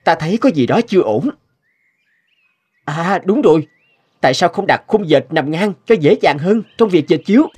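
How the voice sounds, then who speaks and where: An actor playing an earnest, decisive young scholar-official in a cartoon, resolute and respectful. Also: male voice, fairly high pitch, expressive, normal speed, long pauses, a little mellow, husky. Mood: thoughtful.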